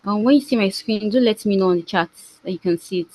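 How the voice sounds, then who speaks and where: A woman hosting an online training as program manager, introducing the session in halting short phrases. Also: medium pitch, mellow. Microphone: video call.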